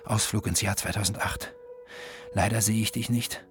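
An actor playing an agitated, wry psychiatric patient in a literary radio play, speaking terse diary fragments in a husky voice. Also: male voice, lowish voice, steady tone, normal speed, over music, natural voice. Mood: agitated, bleak. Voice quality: very husky, breathy, at times whispering. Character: agitated, self-aware, wry male psychiatric patient narrating.

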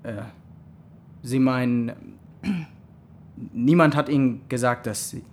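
Man playing blunt police detective, mid-pitched. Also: slow, a little mellow, slight background noise, close to an everyday voice. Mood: awkward. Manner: matter-of-fact, official.

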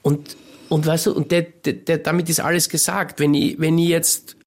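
A man's voice: fairly high pitch, level tone, moderate pace. A man in his forties with a Styrian Austrian accent, a screenwriter guest talking candidly and bluntly, often restarting his sentences. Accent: Styrian Austrian German. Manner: candid and blunt, many restarts, frequent "weißt du". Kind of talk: casual interview conversation.

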